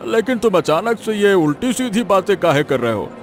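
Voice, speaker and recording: Lively but hesitant cartoon village headman played by a man. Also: high voice, normal speed, soft background music. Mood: hesitant, cautious. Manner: hesitant, folksy, mildly cautious. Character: hesitant, easily swayed male village headman. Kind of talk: Hindi cartoon moral story acting.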